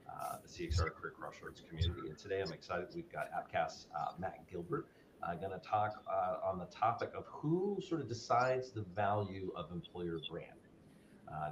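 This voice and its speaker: A man with a fairly low voice hosts a live podcast. He talks eagerly and trips over his own restarts, with plenty of "uh" and "I mean". His audio is dull and roomy, with a steady rumble of noise underneath. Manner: energetic, quick, with many fillers and restarts. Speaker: podcast host.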